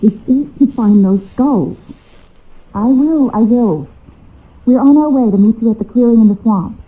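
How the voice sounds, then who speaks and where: Through a thin, phone-like band comes a conspiratorial woman, a controlling schemer in a video game. Her voice is fairly high and tense. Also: measured pace. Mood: tense, alarmed. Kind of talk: video game dialogue acting.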